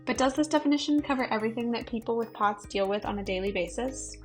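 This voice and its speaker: A woman with a fairly high voice narrates and puts questions to the interviewees. She reads in a measured, explanatory way, and music plays beneath her. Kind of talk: scripted narration.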